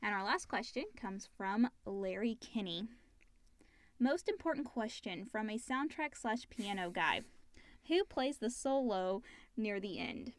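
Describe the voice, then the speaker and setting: A woman's voice: mid-range voice, expressive, unhurried, no echo. A woman co-hosting a casual question-and-answer chat, reading out questions and chiming in. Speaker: co-host reading listener questions.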